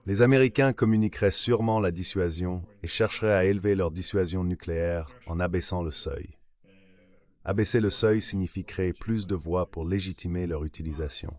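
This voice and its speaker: A man's synthetic AI voice giving a translated political analysis in a measured, formal read-out manner. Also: low voice, darkish tone. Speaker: translation voice-over of an interview guest.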